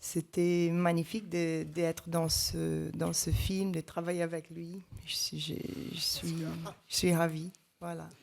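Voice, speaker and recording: A woman's voice: lowish voice, little pitch movement, a little slow, vocal fry, hardly any pauses, a little mellow. A woman, an actress, answering at a press conference, hesitant and repeating words as she searches for them.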